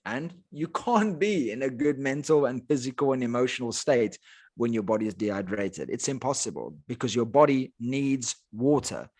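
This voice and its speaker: A South African man whose first language is Afrikaans talks as a life coach guest on a podcast. His middle-pitched voice swings widely, rising and falling with each point. He sounds energetic and emphatic. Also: moderate pace. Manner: energetic, emphatic motivational talk. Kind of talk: podcast interview.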